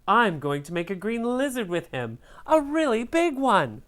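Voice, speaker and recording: Woman voicing gossipy, wildly animated storybook crayons. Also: fairly high pitch, moderate pace, voice pushed up. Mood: gushing admiration. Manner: exaggerated, gossipy. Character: chattering, opinionated crayons judging a classmate.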